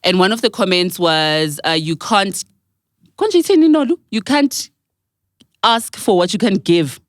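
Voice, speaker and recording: A woman's voice: mid-range voice, lively intonation, unhurried, no room sound, clean studio sound. A woman on a podcast panel, raising questions and prompting the discussion. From South Africa. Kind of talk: casual chat.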